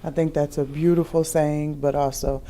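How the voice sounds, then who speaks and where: A woman's voice: low-pitched, level tone, moderate pace, mellow. A woman hosting an interview, asking questions and responding to the guest. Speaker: host, interviewer.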